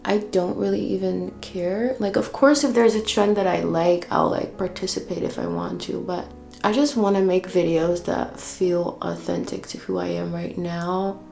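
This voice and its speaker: A woman's voice: lowish voice, fairly even intonation, normal speed, gravelly, darkish tone, faint music behind. A young Dutch-born woman in her twenties with an American-sounding accent, chatting casually while doing her makeup, using "like" often. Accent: American-sounding English. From Netherlands. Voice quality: slangy, says "like" often. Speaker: beauty vlogger. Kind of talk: casual get-ready-with-me chat.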